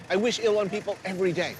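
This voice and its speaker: A middle-aged man hosting a travel show, chatting with deadpan, profane humor and also narrating voice-over. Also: high-pitched, background noise. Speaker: host. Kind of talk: casual chat and scripted voice-over narration. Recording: music playing behind.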